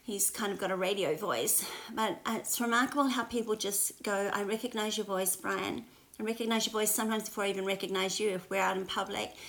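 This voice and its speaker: A woman's voice: medium pitch, steady tone, brisk, fairly bright tone, rough recording. An Australian woman in her sixties, a pastor chatting casually on a home livestream with prayers and many fillers. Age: 60s. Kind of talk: casual livestream devotional chat. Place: spare bedroom at home.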